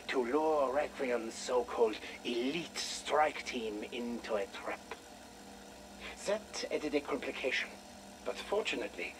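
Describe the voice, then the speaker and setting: An actor playing a coldly smug, villainous infiltrator in a video game, speaking in a deliberate, theatrical, gloating manner. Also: male voice, medium pitch, noisy background, natural voice. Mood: menacing, smug.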